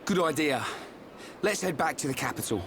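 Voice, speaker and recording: A man playing an earnest, boyish young hero in a video game, talking in an informal, excitable way. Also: a little high, normal speed, creaky, noisy background. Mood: eager.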